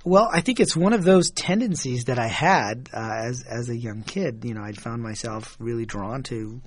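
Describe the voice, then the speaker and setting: A middle-aged man from Michigan, a guest telling reflective stories in a relaxed way, chuckling now and then and repeating words as he starts a thought. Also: mid-range voice, normal speed, a little hiss or hum. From Michigan, USA. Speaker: guest, author and engineer. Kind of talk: reflective conversational storytelling.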